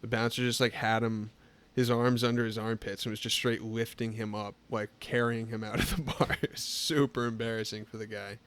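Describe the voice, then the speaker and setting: A man in his twenties, a podcast guest, chatting casually and telling stories in a relaxed way, full of fillers. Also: lowish voice, brisk, clean tone. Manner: relaxed storytelling, lots of filler "like". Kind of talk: casual chat.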